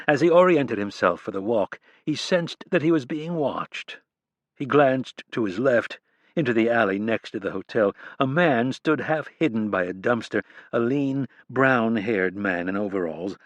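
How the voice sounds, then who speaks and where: A man narrating a crime thriller audiobook in a measured, even reading. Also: medium pitch, no echo. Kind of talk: scripted narration.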